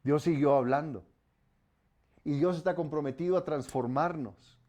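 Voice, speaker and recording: A man from Colombia, preaching a sermon as pastor, rising on commands and dropping low and soft on solemn warnings. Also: moderate pace.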